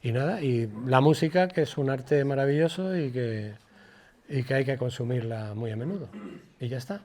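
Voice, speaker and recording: An older man with a Spanish accent from Spain gives an informal lecture, colloquial and digressive, halting and repeating words as he goes. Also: mid-range voice, a little mellow. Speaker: guest lecturer, former radio presenter. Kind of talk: informal public lecture with personal anecdotes.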